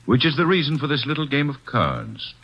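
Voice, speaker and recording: An actor playing a formal, pompous club owner who hosts a card game, in a 1950s radio drama, heard on a dull lo-fi old radio recording. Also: male voice, medium pitch, wildly swooping pitch, fairly quick, husky. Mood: poised. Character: smooth, formal middle-aged club owner.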